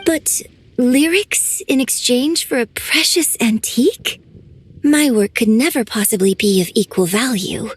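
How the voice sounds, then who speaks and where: An actress voicing a graceful young opera writer in a video game, refined, polite and modest. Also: female voice, fairly high pitch, a little slow, slightly rough. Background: faint game music.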